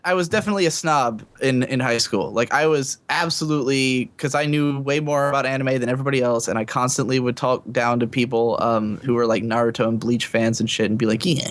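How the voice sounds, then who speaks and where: A man's voice: mid-range voice, fairly bright tone. A man talking casually on a podcast in a self-assured, opinionated, rambling way. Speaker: podcast guest, anime reviewer.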